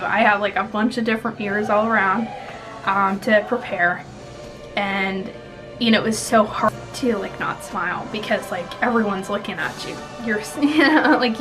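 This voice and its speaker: A woman's voice: medium pitch, low-quality recording. A woman, chatting casually to the camera and giving tips in a rambling, giggly way. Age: 20s. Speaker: vlogger giving interview tips. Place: small indoor room at home.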